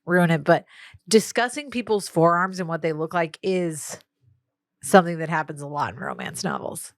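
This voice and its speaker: Female co-host, lowish voice, playful and chatty, dry close recording. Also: sing-song, moderate pace, no echo. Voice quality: somewhat deep for a woman. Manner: chatty, playful, self-deprecating. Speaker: podcast co-host. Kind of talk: casual podcast chat.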